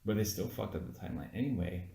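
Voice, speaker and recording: Low-pitched man explaining in an echoey room. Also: animated, fast, vocal fry, dark tone. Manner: insistent, explaining, swearing. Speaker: amateur movie reviewer. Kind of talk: casual chat. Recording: roomy, echoing room sound.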